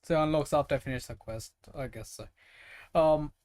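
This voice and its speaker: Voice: mid-range voice, moderate pace, darkish tone, dry recording. A game streamer giving casual gameplay commentary in a rambling, thinking-aloud way. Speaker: game livestreamer.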